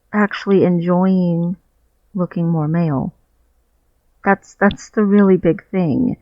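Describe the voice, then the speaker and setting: A man's voice: high voice, no room sound. A man talking candidly and reflectively in a personal video diary, searching for his words as he goes, recorded on a headset mic while sitting on his bed at home. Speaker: vlogger. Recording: dull, muffled lo-fi headset audio.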